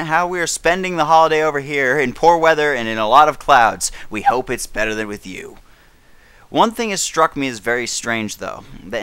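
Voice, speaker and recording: A man's voice: fairly high pitch, fairly bright tone, clean tone, no room sound. A man playing a grave, defiant elder British statesman, giving a 1930s radio address with emphatic, oratorical delivery. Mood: wry, defiant, then earnest, measured. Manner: declamatory, emphatic, dramatic stresses, occasional stumbles.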